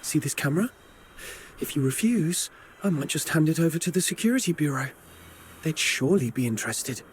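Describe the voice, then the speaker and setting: An actor playing a calm, inquisitive young male investigator in a video game, pressing for answers. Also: a little high, a little fast, a little hiss or hum, natural voice. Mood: cool, pressing. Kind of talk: video game character dialogue.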